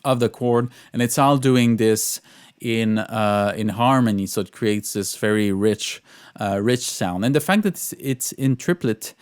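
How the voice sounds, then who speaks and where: A man giving an informal music tutorial as a composer, speaking in halting, repeated phrases. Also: on the low side, clean tone. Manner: sings out rhythms in syllables. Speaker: composer teaching orchestration.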